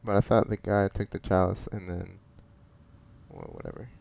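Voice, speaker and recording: A man's voice: low-pitched, wildly swooping pitch, moderate pace, gravelly, darkish tone. A man giving casual, chatty gameplay commentary, reacting aloud and muttering asides. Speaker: gamer. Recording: dull lo-fi mic with faint noise.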